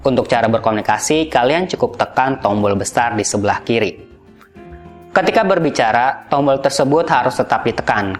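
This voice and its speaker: A man hosting a gadget review, narrating in a casual, explanatory style. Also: medium pitch. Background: background music.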